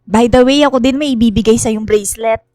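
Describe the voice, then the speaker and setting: Woman acting a sweet high-pitched young girl. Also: brisk, darkish tone, no room sound, clean studio sound, close to an everyday voice. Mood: fond. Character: Regine, sweet sentimental young girl, childhood friend. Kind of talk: radio drama dialogue. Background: music.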